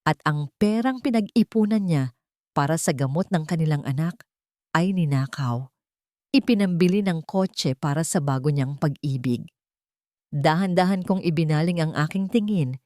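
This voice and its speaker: A woman narrating a dramatic first-person audiobook story in a measured, storytelling way. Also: sits low, expressive, mellow, no room sound, studio quality.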